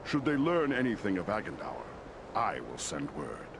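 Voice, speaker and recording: An actor playing a stately, wise lord of giant eagles in a fantasy game, speaking with regal formality. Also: male voice, lowish voice, wildly swooping pitch, moderate pace, vocal fry, noisy background, heavily acted. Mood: grave, measured. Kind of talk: fantasy game cutscene acting.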